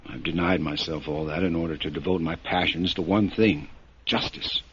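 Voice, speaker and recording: A man's voice: sits low, steady tone, normal speed, a little hiss or hum, natural voice. A man playing a weary lord who crusades for justice in a radio drama, impassioned and bitterly sardonic, on a dull vintage recording. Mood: despairing. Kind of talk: golden-age radio drama acting.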